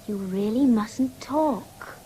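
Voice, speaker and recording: An actress playing an anxious, gently firm woman nursing an invalid in a 1970s radio drama, with an English accent. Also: on the high side, takes it slowly, poor audio. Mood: firm, soothing. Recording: hissy old radio broadcast recording.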